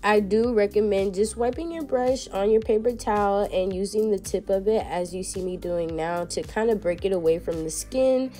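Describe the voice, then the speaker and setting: A woman's voice: medium pitch, normal speed, few pauses, mellow, soft background music. A young woman with a congested, stuffy nose, chatting casually to viewers through a nail tutorial. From Texas. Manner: chatty, addresses viewers as y'all. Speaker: nail technician.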